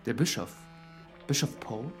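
A man's voice: mid-range voice, lively intonation, measured pace, soft background music, lightly acted. A young man playing a polite, earnest young priest in a radio drama, speaking formally and carefully. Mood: earnest, probing. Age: young adult. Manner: formal, considerate, careful questioning. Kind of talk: radio drama acting with first-person narration.

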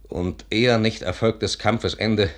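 An actor playing a grave, courteous army officer in a 1950s German radio drama, measured and solemn. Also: male voice, fairly low pitch, steady tone, close to an everyday voice. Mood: solemn, dramatic.

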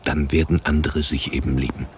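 An actor playing a bitter, hardened revolutionary and former prisoner in a German radio drama, grim and emphatic in manner. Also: male voice, a bass voice, little pitch movement, brisk. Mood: terse, guarded.

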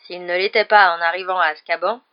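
A woman's voice: fairly low pitch, fairly even intonation, a little fast, dull audio, lightly acted. Woman voicing a gloomy, nervous male bus driver. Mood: grim. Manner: grim, grumbling.

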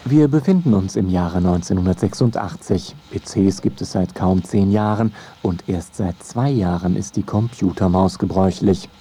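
A man's voice: sits low, normal speed, hardly any pauses, mellow, slight background noise. A man narrating a scripted radio feature in a wry storytelling way.

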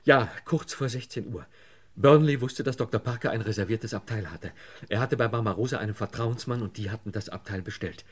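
An actor playing a desperate, broken man under police questioning in a radio crime play, halting and pleading. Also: on the low side, slightly rough. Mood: anxious, confessing. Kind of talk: German radio crime play acting, 1950s to 80s.

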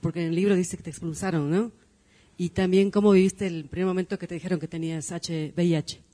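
A woman from Bolivia in the audience asks her question with a dark, mellow tone. She speaks hesitantly, with small fillers as she gathers her thoughts. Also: lowish voice, fairly even intonation, fast talker. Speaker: audience member. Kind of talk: asking a question at a forum.

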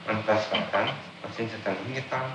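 A man's voice: on the low side, steady tone, fast talker, pushed and bright. A man questioning a suspect as prosecutor, in a formal, methodical and pressing official manner. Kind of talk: formal interrogation. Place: echoey room, archival interrogation tape. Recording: noisy, roomy archival 1960s tape recording.

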